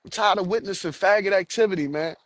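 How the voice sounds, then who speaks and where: A man's voice: sits high, quick pace, no room sound. Angry, profane man ranting on a podcast. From United States. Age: adult, has children. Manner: angry, profane, repeats himself. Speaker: podcast host. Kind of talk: solo podcast rant.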